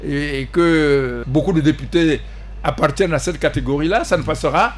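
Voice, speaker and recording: Man from central african republic, high voice, halting, repeats words, faint noise behind. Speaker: politician guest, party president. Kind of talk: panel talk show debate.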